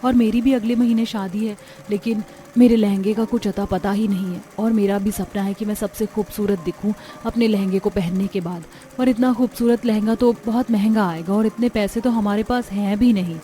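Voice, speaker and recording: A woman voicing a humble, gentle young daughter from a poor family in a cartoon story, speaking softly and earnestly. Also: mid-range voice, steady tone, normal speed, close to an everyday voice. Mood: wistful.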